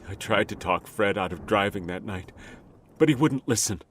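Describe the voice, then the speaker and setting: A man's voice: fairly low pitch, animated, normal speed, a bit bright, slight background noise. Man acting a guilt-ridden husband, swooping and anguished. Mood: pleading. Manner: pleading, anguished. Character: guilt-ridden frightened young husband haunted by his past. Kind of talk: horror radio drama acting.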